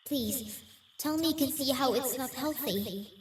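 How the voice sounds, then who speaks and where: A woman's voice: high-pitched, a little slow. A woman playing a worried, pleading female ghost in a fantasy audio roleplay. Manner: earnest, pleading. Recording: echoey, distant, with clear background noise.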